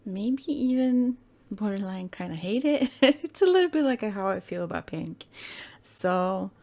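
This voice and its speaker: A woman hosting her gaming channel in casual, rambling commentary, her throat hoarse and croaky today, often hesitating and correcting herself. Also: mid-range voice, lively intonation, measured pace, mellow.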